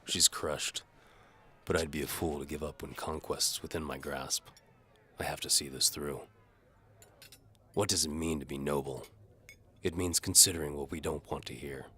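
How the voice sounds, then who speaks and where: A man's voice: steady tone, normal speed, long pauses, bright, forceful tone. A man narrating a fantasy audio drama in the first person, with a world-weary, wry, hard-boiled delivery. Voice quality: very deep, husky. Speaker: narrator and lead actor.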